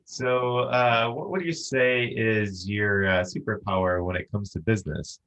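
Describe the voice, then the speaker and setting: A man hosting an interview show, his voice low in pitch. He asks his questions casually, pausing often on fillers as he goes. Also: a bit bright. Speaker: podcast host.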